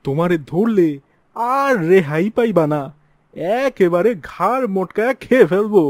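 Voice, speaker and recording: A timid cartoon village lad, acted by a man, high in pitch and fretful, speaking. The sound is dry and close. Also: animated, normal speed, no room sound. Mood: warning. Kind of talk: cartoon dialogue acting.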